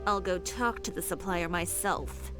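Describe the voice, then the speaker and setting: An actress playing a proud, composed fashion designer who runs her own boutique, giving crisp, self-assured orders. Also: on the low side, unhurried, lightly acted. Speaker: boutique owner. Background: game music.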